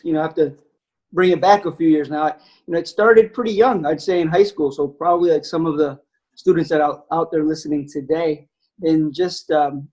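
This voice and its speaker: A man's voice: on the high side, a little mellow, low-quality recording. Reflective man on a video call. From United States. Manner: reflective, many fillers. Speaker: anesthesiologist guest. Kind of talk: webinar talk.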